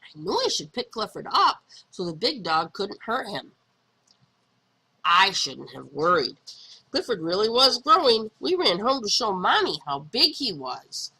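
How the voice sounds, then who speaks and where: A woman narrates a picture book for young children with very wide, swooping intonation. Her voice is bright and a little husky, and she leans on words dramatically, drawing them out for emphasis. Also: mid-range voice, sing-song, measured pace, low-quality recording. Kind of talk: expressive read-aloud narration for young children.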